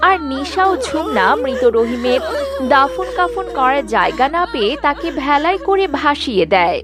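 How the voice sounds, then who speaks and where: A woman narrates a children's cartoon story in a high, expressive voice. Her pitch moves a lot from phrase to phrase, and faint music plays behind her. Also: normal speed.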